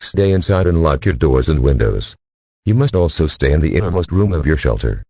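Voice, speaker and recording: A man with an extremely low, husky voice reads scripted emergency alerts. His formal, synthetic-sounding delivery moves noticeably up and down in pitch. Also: sing-song, fairly quick, mellow, no echo.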